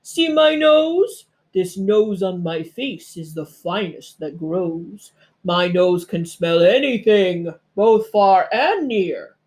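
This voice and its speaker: A woman voicing a big boastful male bear in a storybook, bragging slowly and emphatically with a bit of growl. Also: mid-range voice, expressive, a little mellow. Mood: boastful, challenging.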